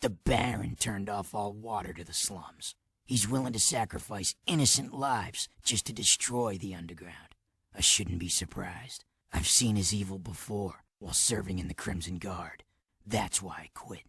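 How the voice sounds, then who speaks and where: A gruff, deep-voiced resistance commander. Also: male voice, normal speed, pushed and bright, dry recording, clean studio sound, full character voice. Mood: bitter. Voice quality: low, gravelly. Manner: curt, commanding, disdainful. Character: gruff, hardened, scornful underground resistance commander, ex-guard. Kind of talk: video game cutscene acting.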